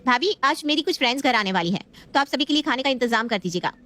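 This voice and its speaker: A woman's voice: high-pitched, lively intonation, fast talker, bright, forceful tone. A woman voicing a spoiled, scheming young married daughter in a family story, whiny and demanding. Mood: bossy, sweet.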